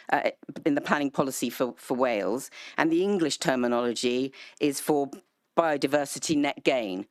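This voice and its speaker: A councillor answering formal questions at a council meeting. The delivery halts often, full of ums and restarts. The sound is dry and close to the mic. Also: female voice, deep voice, no room sound. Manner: hesitant, many ums and restarts.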